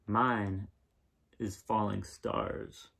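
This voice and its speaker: Husky, creaky-voiced man reading aloud. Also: fairly low pitch, fairly even intonation, drawn-out words, fairly bright tone. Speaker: reader of children's picture books. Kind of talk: gentle read-aloud storytelling and casual chat. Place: small living room indoors, seen on camera.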